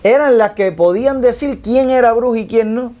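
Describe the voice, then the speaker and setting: Man, high voice, animated panel chat, lots of fillers. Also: normal speed. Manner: animated, many fillers and restarts. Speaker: podcast co-host.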